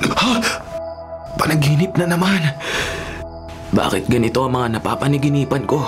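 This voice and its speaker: A performer playing a naive, dreamy favored young son, earnest and expressive, in a Filipino biblical radio drama. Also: mid-range voice, normal speed, music in the background, close to an everyday voice. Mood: puzzled, uneasy.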